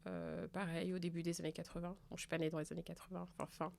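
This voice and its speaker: A woman's voice: fairly low pitch, level tone, fast, creaky, a little mellow. A woman in her thirties from France, telling her story frankly on a podcast. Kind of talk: casual round-table chat.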